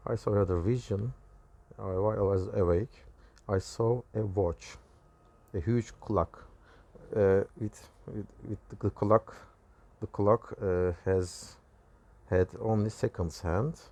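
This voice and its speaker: A man with a Turkish accent and Turkish as his first language gives a personal testimony, recounting visions in halting, self-correcting phrases. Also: on the low side, fairly even intonation, dark tone. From Turkey. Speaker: religious video creator.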